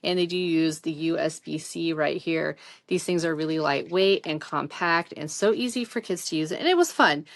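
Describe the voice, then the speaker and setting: A woman's voice: low-pitched, normal speed, no room sound. A middle-aged woman giving a casual, chatty product review in a rambling, conversational, informal way.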